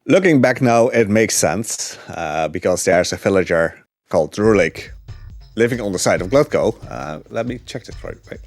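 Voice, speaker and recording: A man commentating on a speedrun from his couch at home, chatty and relaxed with lots of fillers. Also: on the low side, moderate pace, no room sound, soft background music. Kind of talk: casual gameplay commentary. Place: home living room couch.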